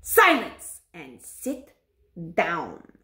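A woman voicing a cruel, commanding Grand High Witch from a children's storybook, with a theatrical, drawn-out delivery. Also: huge pitch swings, sharp tone, reverberant, exaggerated voice. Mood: angry, imperious.

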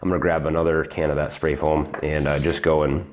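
A man in his thirties giving a casual walkthrough of his basement renovation, talking in a halting way with many ums and restarts, on a lapel mic. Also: a bass voice, flat delivery, a little mellow, a little hiss or hum. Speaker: DIY home renovation vlogger. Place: unfinished basement, indoors. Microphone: lapel mic, handheld camera.

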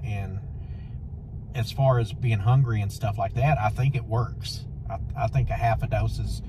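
A man's voice: fairly low pitch, fairly even intonation, unhurried, far from the mic. A middle-aged man chatting casually while driving, picked up by a phone across the car. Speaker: driver and vlog co-host. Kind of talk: casual chat. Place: driving inside a moving car. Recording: dull sound, loud car noise.

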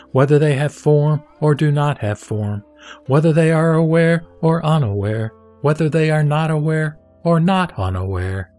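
Man voicing a solemn, measured Buddha over music. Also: on the high side, a little mellow, lightly acted. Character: the Buddha, a wise, composed male spiritual teacher. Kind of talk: scripted sutra audio drama recitation.

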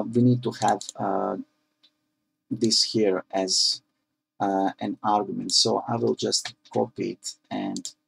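A man whose first language is Croatian, walking through a coding tutorial in a halting, explanatory way with frequent "uh" fillers, recorded at his computer. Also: lowish voice, gravelly, rough recording. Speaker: programming tutorial presenter.

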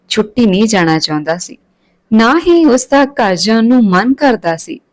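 A woman's voice: medium pitch, poor audio. A woman reads a scripted family story as a storyteller, narrating and voicing the dialogue.

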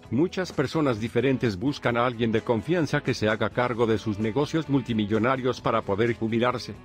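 A man narrating a scripted list of tips in an even, formal reading tone. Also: mid-range voice. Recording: faint guitar music behind.

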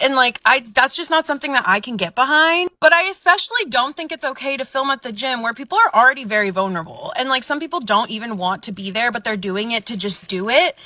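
A woman's voice: high-pitched, pushed and bright, no echo. A woman in her twenties vlogging from a parked car on her phone, emphatic and full of "like" fillers. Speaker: social media creator. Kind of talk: casual phone vlog rant. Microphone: phone held close.

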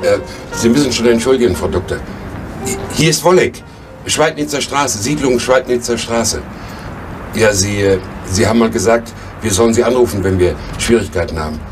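An actor playing a hesitant, apologetic man on a phone call in a 1970s radio play, speaking haltingly. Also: fairly low pitch, music in the background, lightly acted. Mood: uneasy, apologetic. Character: hesitant, apologetic man phoning a doctor for help. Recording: noisy old radio play recording.

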